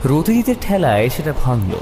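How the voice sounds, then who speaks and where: A man narrating a detective story in the first person with expressive, suspenseful dramatic delivery. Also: a little high, moderate pace, noisy background. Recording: long reverb, music behind the voice.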